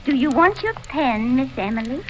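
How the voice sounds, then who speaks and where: A woman's voice: high voice, sing-song, moderate pace. An actress playing a deferential but sly housemaid, wheedling her mistress in an old radio drama. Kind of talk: 1940s radio acting. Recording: faintly hissy old radio recording.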